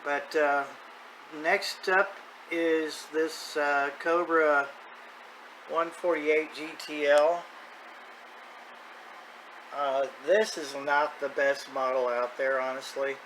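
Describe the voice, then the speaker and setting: A man's voice: a little high, steady tone, slow, pauses often, a bit bright, low-quality recording. A man in his fifties talking casually and off the cuff as a radio hobbyist, plain-spoken and folksy. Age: 50s. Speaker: radio hobbyist video host. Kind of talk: casual rambling vlog talk. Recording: clear background noise.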